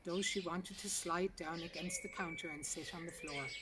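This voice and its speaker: A woman's voice: fairly low pitch, fairly even intonation, normal speed. A woman reads a short story aloud as scripted narration, recorded outdoors in a garden. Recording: distant mic, outdoor noise, birdsong. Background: birdsong and outdoor ambience.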